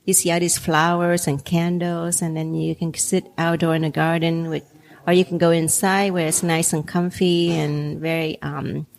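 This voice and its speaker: A woman's voice: fairly low pitch, flat delivery, normal speed, vocal fry. A woman who owns restaurants, whose first language is Vietnamese, chatting in an interview with frequent ums and easy laughter. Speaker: restaurant owner. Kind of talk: casual interview chat.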